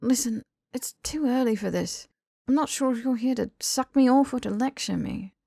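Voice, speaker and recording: A grumbling, flustered young man, acted by a woman narrator in an audiobook, informal and wry. The delivery is creaky and closely recorded with a dry sound. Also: fairly high pitch, normal speed, dark tone, dry recording, pitched above the performer's own voice. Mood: indignant. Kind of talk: audiobook character dialogue.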